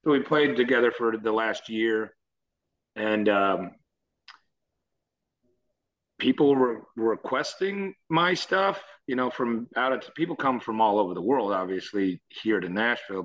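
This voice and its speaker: A man with a low voice, a musician guest chatting casually in an interview, easygoing and rambling. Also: fairly bright tone, lo-fi sound. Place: Nashville.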